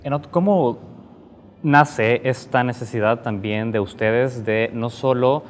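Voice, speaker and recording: A man's voice: mid-range voice, takes it slowly. A man speaking formally as a television interview co-host. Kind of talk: formal broadcast introduction and questioning. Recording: faint background noise.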